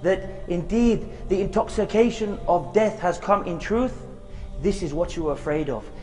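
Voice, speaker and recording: High-voiced man preaching earnestly. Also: darkish tone, big-room sound, soft background music. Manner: earnest, slow, with Arabic recitation chanted. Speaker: preacher giving a short reminder. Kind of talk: earnest sermon to camera. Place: outdoors in a graveyard.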